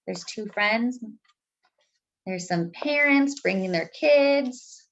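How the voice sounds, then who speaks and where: Female children's story reader, high voice, highly animated melody, deliberate phrasing with conversational asides. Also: highs cut off. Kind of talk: expressive picture book read-aloud.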